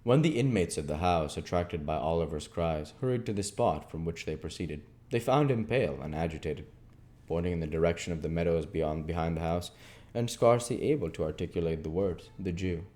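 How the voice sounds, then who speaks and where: Low, mellow man reading a classic. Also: lively intonation, fairly quick. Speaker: reader and host of an audiobook channel. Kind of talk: expressive literary narration.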